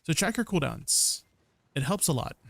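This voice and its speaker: A man's voice: fairly high pitch, very animated, moderate pace, gravelly, fairly bright tone. A young man casually commenting on his gameplay during a livestream, explaining combos and answering viewers, often ending sentences with "right?". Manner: chatty, answers viewers' questions. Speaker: game streamer.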